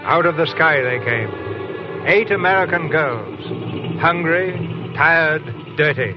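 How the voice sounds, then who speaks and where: A man's voice: on the high side, animated, slow, lo-fi sound, music in the background. A man hosting and narrating a radio theater broadcast in a polished, stately 1940s announcing style.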